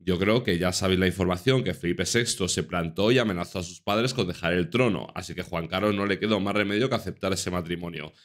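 Spanish guy in his 30s doing earnest news commentary at his desk, lowish voice, talks right at viewers. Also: quick pace, few pauses. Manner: earnest, repeats for emphasis, addresses viewers directly. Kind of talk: casual commentary reading news articles. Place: small room indoors.

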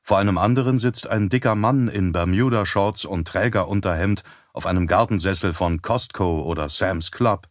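A man reading an audiobook as narrator in a measured, even storytelling manner on a close studio mic. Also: sits low, dry recording. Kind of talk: scripted narration.

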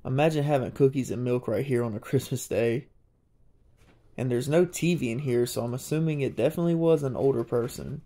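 A young man, narrating a casual, excited walkthrough of an abandoned house. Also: mid-range voice, a little fast. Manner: excited, marveling, chatty. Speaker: urban explorer vlogger. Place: inside an abandoned old house.

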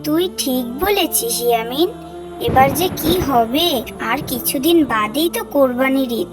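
A woman's voice: high-pitched, low-quality recording. A woman acting a young girl in a cartoon, a caring elder sister with an earnest, concerned manner.

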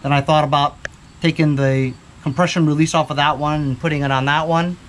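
Casting: male DIY repair vlogger, slightly high voice, informal rambling delivery, faint garage background noise. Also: fairly even intonation, fairly quick, fairly bright tone. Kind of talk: casual chat while showing repair work. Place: cluttered home garage with open door.

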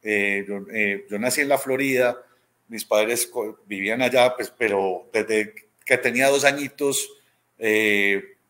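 A man's voice: fairly low pitch, measured pace, sharp tone. A man in his thirties with a Colombian accent from Medellín, telling stories casually in an interview and acting out quoted dialogue as he goes. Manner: conversational, acts out quoted dialogue, often tags with "¿cierto?". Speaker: robotics teacher being interviewed. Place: small room at home, indoors, video call.

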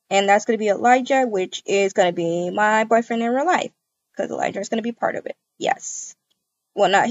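A woman's voice: on the high side, a little mellow, highs cut off. A woman speaking casually as she improvises a game roleplay and chats to viewers. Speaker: video creator acting out a roleplay.

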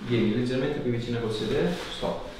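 A man's voice: mid-range voice, fairly quick, darkish tone. A man working as a chiropractor, giving short, reassuring instructions to a patient during hands-on treatment. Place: small clinic treatment room. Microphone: camera a few steps away. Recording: echoey room, distant mic, background noise.

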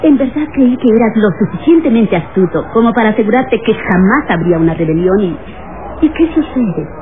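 An actor playing a sly, mocking sidekick who taunts in an old radio drama. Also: male voice, sits high, expressive, brisk, a crowd in the background. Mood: sly taunting. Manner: taunting, sneering. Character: mocking, sly male sidekick needling the villain. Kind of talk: vintage radio drama acting. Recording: tinny old radio, background voices.

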